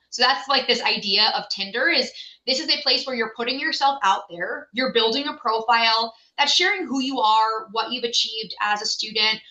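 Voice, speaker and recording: Bright, upbeat woman presenting in an echoey room. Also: a little high. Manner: upbeat, enthusiastic, many fillers. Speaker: director at an education software company. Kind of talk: livestream presentation.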